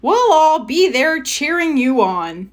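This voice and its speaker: A woman voicing a brisk, encouraging male schoolteacher in a children's storybook, giving instructions. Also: sits high, very animated, voice pushed up, heavily acted. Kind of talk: children's storybook read-aloud.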